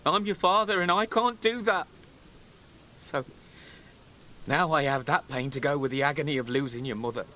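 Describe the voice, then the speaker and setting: A man's voice: on the high side, moderate pace, pauses often, pushed and bright, a little hiss or hum. An actor playing an emotional, middle-aged widowed father in a naturalistic radio soap. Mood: anguished, angry. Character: grieving widowed father, emotional and confessional, exasperated.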